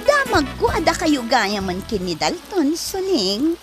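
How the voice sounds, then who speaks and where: Girl acting as vain ilocano show-off, slow drawling taunts, high voice, comedy drama. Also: huge pitch swings, over loud music, heavily acted. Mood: mocking. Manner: haughty, drawn-out taunting. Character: vain, mocking young woman, ambitious and boastful.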